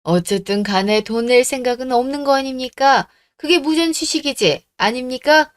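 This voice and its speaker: A woman's voice: high-pitched, normal speed, clear, resonant tone, dry recording, lightly acted. A formal police officer, voiced by a woman, firm and matter-of-fact in a radio drama. The sound is close and dry. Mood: stern. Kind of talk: radio drama story acting.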